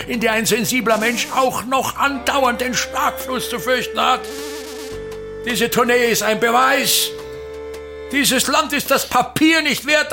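An actor playing a pompous, embittered old touring actor-manager in a radio play, declaiming grandly with a gravelly, husky voice. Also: male voice, extremely high pitch. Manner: declamatory, grandiose, emphatic repetition. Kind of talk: theatrical radio play monologue.

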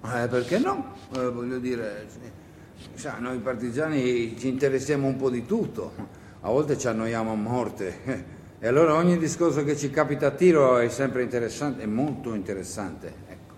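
A man's voice: medium pitch, lively intonation, normal speed, lightly acted. A man playing an awkward, talkative partisan on stage, bashful and eager, hesitating and repeating himself in colloquial theatrical speech. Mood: chatty. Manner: hesitant, stammering, repetitive, colloquial interjections. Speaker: actor and director performing a solo play. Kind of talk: theatrical dialogue, radio drama monologue. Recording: echoey theatre hall with faint noise.

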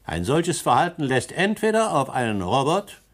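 A dignified, firm male scientist in a radio play. He speaks in a mid-range voice with wide, expressive rises and falls, measured and dismissive. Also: mid-range voice. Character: dignified older male robotics director. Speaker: scientist Dr. Lanning. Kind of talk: radio drama acting.

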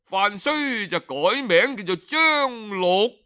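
A male storyteller narrates in a high, bright voice. He takes his time, speaking colloquially and expressively in the manner of traditional oral tales, with drawn-out phrase endings and smooth, clean tone. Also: expressive.

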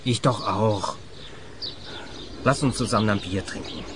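A man's voice: on the low side, measured pace, noisy background, close to an everyday voice. A man acting a blunt, grumbling, beer-loving grown son in a radio play. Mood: bitter. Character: grumbling, beer-loving adult son, bitter about crooks.

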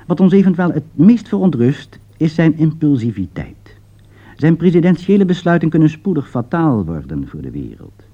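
A man's voice: medium pitch, mellow, slightly rough, lo-fi sound. A man reading a scripted political commentary in a formal, emphatic, rhetorical manner. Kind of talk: scripted broadcast commentary read aloud.

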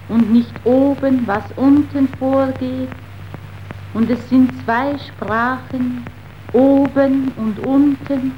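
Dying preacher girl, solemn accusing slow declaiming, hissy 1930s radio drama. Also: high voice. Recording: muffled, noisy 1932 radio recording.

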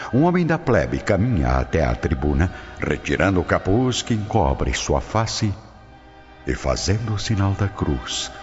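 A man with a Brazilian accent giving dramatic scripted narration for a radio drama. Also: low-pitched. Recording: dull lo-fi audio with prominent music.

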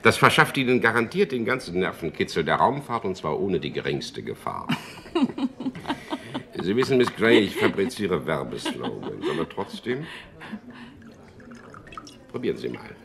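Very deep gravelly smooth-talking cynic in a radio play, ironic charmer, lively swings in tone. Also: male voice, measured pace, pauses often, crowd noise, full character voice. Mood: flirtatious, teasing. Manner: suave, ironic, understated. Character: sardonic charming ad copywriter and womanizer, cool, detached narrator. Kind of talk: German radio drama acting with intimate first-person narration.

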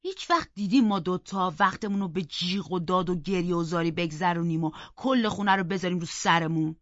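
A woman's voice: on the low side, no room sound, highs cut off, voice pushed down, natural voice. A woman voicing a hot-tempered, defiant orphan boy telling a story in an audiobook, vehement and emphatic. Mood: bitter, defiant. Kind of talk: dramatic audiobook character narration.

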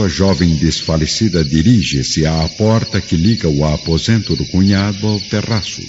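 A man's voice: deep voice, noisy background. A man narrating a scripted radio drama in a solemn, theatrical way. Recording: dull lo-fi, dramatic music behind.

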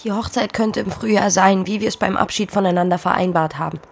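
An actress playing a poor young pregnant farm maid in a radio play, fearful yet stubborn, speaking earnestly in formal stage diction. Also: female voice, mid-range voice, fast talker, gravelly, slightly rough. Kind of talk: German radio play acting.

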